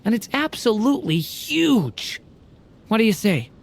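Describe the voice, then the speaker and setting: An actor voicing a gentle, unsure young game character, speaking softly with hesitant stammers. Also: male voice, high-pitched, big pitch swings, a little slow, gravelly, full character voice. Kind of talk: video game voice acting.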